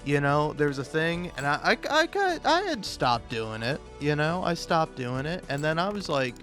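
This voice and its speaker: A man with a slightly high voice rambles through a casual comic monologue over faint music. He swears freely and trips over his words, repeating bits and breaking off mid-sentence to start again. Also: sing-song, a bit bright. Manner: profane, halting, self-interrupting with stammered repeats; chuckles often while talking. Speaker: host of a comedic video.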